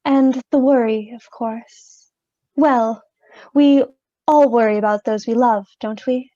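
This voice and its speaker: On a dull, muffled recording, a falsely sympathetic female mourner, acted by a woman talks in a high, very slow voice. Every soft condolence in this radio play carries a pointed, insinuating edge. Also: steady tone. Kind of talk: stage radio-play acting.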